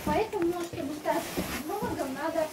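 A woman with a high voice leads an informal cave tour. She explains in fragments, pausing often as she goes. Her words echo off the rock, over clearly audible noise. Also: lively intonation. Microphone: handheld camera a few steps away. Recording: echoey, distant, noisy cave recording.

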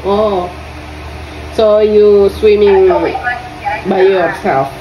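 A woman from Indonesia with an Indonesian accent teaching English to young students in an online lesson. She speaks haltingly, repeats her questions and fills her pauses with "uh". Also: medium pitch, fairly even intonation. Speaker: English tutor. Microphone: lesson audio recorded on a phone. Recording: roomy phone recording with faint noise.

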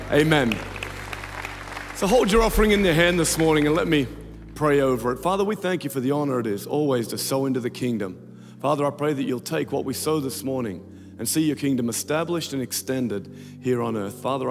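A man in his thirties with an Australian accent, speaking to a large church from the stage with a handheld mic, telling a story and then praying over the offering. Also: mid-range voice. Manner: storytelling, then slow prayer. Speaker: pastor giving offering message. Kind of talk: church offering talk and prayer. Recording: reverberant arena with music.

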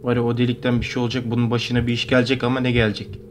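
A man's voice: mid-range voice, completely flat delivery, racing, slight background noise. A young man from Turkey giving casual, slangy, joking reaction commentary as a video host. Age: young adult. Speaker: YouTube host reacting to a horror cartoon. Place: bedroom at home.

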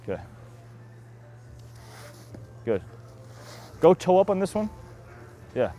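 A man's voice: sits high, big pitch swings, slow pace, warm tone. A man with a Massachusetts accent coaching baseball catching drills in casual, encouraging, slangy bursts. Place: outdoor batting cage and bullpen.

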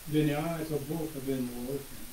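An older man preaching as a pastor from his home office with a slightly hoarse voice, reading scripture in a slow, measured way and clearing his throat at times. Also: a little high, fairly even intonation, warm tone. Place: home office, seated at a desk. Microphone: webcam across the room. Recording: roomy webcam recording with hiss.

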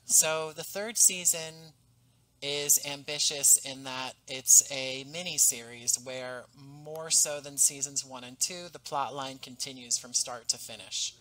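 A man in his thirties, a podcast guest, speaking in a measured, articulate way over a headset mic on a video call. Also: mid-range voice, steady tone, pushed and bright, rough recording. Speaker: podcast guest, audio drama writer. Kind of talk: casual interview talk. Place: home room with painting behind.

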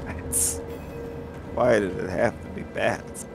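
A man's voice: on the low side, steady tone, slow pace, gravelly. A man playing a co-op video game, chatting casually and joking with friends. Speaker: game streamer. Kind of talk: casual co-op gaming chat. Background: faint game music.

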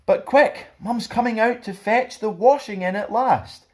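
A young man presenting and reading for children in an expressive storytime style, recorded indoors at about arm's length from the camera. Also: high-pitched, measured pace. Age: young adult. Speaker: storytime host reading a picture book. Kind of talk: expressive children's read-aloud with friendly presenting. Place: small plain indoor room.

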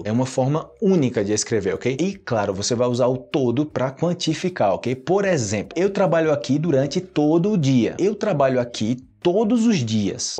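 Brazilian guy in his 30s teaching portuguese, bouncy voice swinging up and down, kinda husky, high-ish. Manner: animated, gestures a lot, acts out example sentences in a higher voice. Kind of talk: energetic language lesson to camera. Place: home studio with monitors and pink lighting.

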